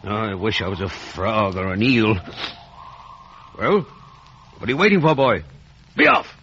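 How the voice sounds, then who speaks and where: An actor playing a menacing, wretched escaped convict in a radio drama, with rough, threatening speech. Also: male voice, lowish voice, sing-song, unhurried, fairly bright tone, exaggerated voice. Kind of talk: 1950s radio drama acting. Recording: muffled, noisy vintage radio recording.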